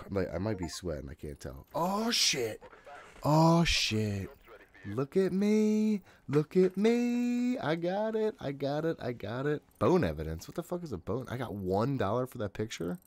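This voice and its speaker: A man's voice: medium pitch, sing-song, measured pace, dry recording. A man in his thirties giving casual, jittery, profane gameplay commentary, often talking to himself. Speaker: gaming host. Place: room at home.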